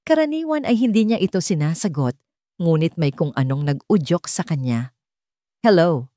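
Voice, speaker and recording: A woman's voice: low-pitched, animated, moderate pace, warm tone, no echo, clean studio sound. A woman with a Filipino accent reading a dramatic scripted story as its narrator.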